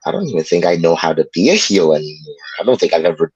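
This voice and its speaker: A man from Puerto Rico chatting casually as an interview guest, telling stories in an animated way with quoted asides. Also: sits low, quick pace, husky, dry recording, highs cut off.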